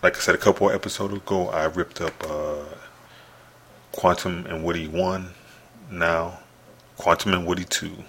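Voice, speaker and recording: Guy doing his solo podcast from his apartment, talking quiet, very flat monotone, kinda sharp edge, lots of uh and restarts. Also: low voice, a little hiss or hum. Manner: speaks softly, hushed; halting, many restarts and fillers. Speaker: podcast host. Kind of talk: rambling solo podcast monologue.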